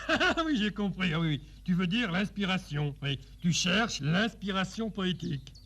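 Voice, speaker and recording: A husky, creaky puppet animal from a children's TV show, pitched a bit high. It is a kindly, fussy friend who stammers, repeats words and gently teases. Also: male voice, animated, normal speed, heavily acted. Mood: amused. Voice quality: gravelly, husky. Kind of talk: children's TV character dialogue.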